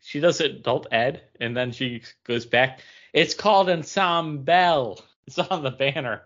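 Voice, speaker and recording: A man's voice: mid-range voice, a little slow, a bit bright. A man answering trivia in casual chat over a lo-fi call. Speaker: podcast panelist answering trivia. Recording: dull lo-fi voice call.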